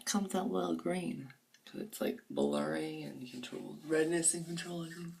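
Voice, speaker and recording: A woman's voice: low-pitched, big pitch swings, moderate pace, vocal fry. A woman with a groggy, sore-throated voice, chatting casually through a makeup review in her bathroom, rambling and sometimes dropping to near-whispers. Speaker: beauty vlogger. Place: home bathroom. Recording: voice a bit far from the mic.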